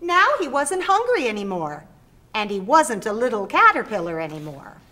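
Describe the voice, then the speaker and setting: A woman's voice: a little high, very animated, normal speed, sharp tone. A woman performing reader's theater, reading a children's storybook aloud expressively. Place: theater stage.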